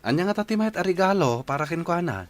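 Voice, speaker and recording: A man's voice: sits high, lively intonation, fast talker, slight background noise. A man playing an earnest, polite young boyfriend in a radio drama, with an Ilocano Filipino accent. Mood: polite, friendly.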